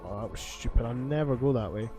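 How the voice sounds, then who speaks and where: A man's voice: medium pitch, fast talker, warm tone. A man giving rambling, sweary commentary as he plays a video game. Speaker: gaming video host. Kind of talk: casual gameplay commentary. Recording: faint game music behind. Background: video game music.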